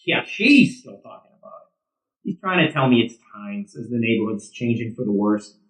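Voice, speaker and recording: An actor playing a hot-headed, combative middle-aged softball player who drinks beer, profane and sarcastic, in an audio drama. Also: male voice, mid-range voice, big pitch swings, moderate pace. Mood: grumbling, conversational. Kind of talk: naturalistic audio drama acting. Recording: roomy, echoing sound.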